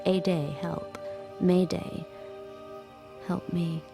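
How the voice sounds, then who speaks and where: A woman playing a subdued, watchful handmaid in an audio drama, narrating her thoughts in a measured, hushed, introspective way. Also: on the low side, fairly even intonation, vocal fry, heavily acted. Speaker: lead actor and first-person narrator. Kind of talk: audio drama, intimate literary narration and dialogue. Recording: music under the voice.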